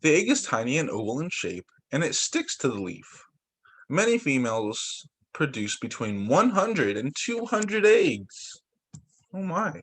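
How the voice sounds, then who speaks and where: A man's voice: fairly high pitch, sing-song, unhurried, fairly bright tone, breathy edge. A young man, a teacher, reading picture books aloud to children over a video call and breaking in with little asides and exclamations. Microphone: computer mic on a video call.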